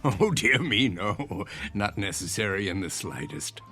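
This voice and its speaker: An elderly gentleman of the old school in a radio drama. His voice sits low, and he talks in a courtly, genial, slightly wistful way. Soft music plays behind him. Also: big pitch swings, measured pace, exaggerated voice. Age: older. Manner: courtly, old-fashioned, chatty.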